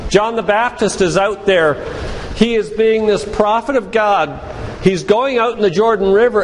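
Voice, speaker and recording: An older man giving a sermon-like lecture, retelling Bible stories in a didactic way and addressing listeners directly. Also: high-pitched. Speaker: physician giving a guest talk at a church. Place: large room, church. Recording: reverberant hall with clear background noise.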